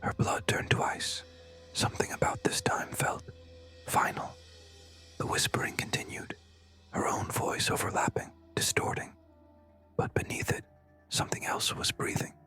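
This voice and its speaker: Bright, breathy whispering horror narrator. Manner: slow, hushed, suspenseful delivery with pauses. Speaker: narrator of scary stories. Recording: music behind. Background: background music.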